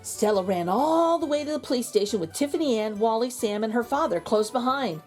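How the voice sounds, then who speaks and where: A woman's voice: fairly high pitch, animated, fairly quick, darkish tone, faint music behind. A woman reading a children's storybook aloud as host, narrating expressively for young listeners.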